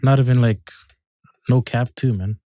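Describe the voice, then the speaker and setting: Dark-voiced casual podcast host man. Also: mid-range voice, fairly even intonation, normal speed, dry recording, clean studio sound. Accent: Canadian English. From Canada. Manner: loose, profane, repeats himself. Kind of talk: casual chat.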